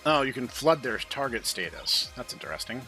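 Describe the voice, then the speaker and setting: A man's voice: medium pitch, very animated, fairly quick, sharp tone, low-quality recording. A man hosting a game playthrough, thinking aloud as he comments on what he plays. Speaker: gaming video host. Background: game music.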